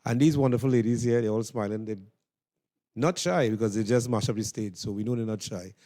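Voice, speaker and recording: Male interviewer, Trinidadian, soft-edged mid-range voice, conversational and rambling, dry close sound. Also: on the low side, steady tone, a little fast, no echo. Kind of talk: casual backstage interview. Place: interview room just off stage.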